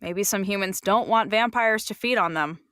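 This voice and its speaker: A woman performs a frank, playful servant in an audio drama. She talks casually and bluntly in a mid-pitched voice, recorded close and dry. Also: normal speed, fairly bright tone, clean tone, no room sound, lightly acted. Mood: frank, sassy. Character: frank, sassy, contented human female attendant.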